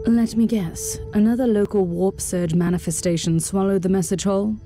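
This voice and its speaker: A composed, resolute warrior sister acted by a woman in a dark sci-fi game. She has a middle-range pitch and a dry, sardonic delivery, with music behind her. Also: steady tone, moderate pace, close to an everyday voice. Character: resolute, dry-witted female Inquisitor battle sister. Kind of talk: video game voice acting.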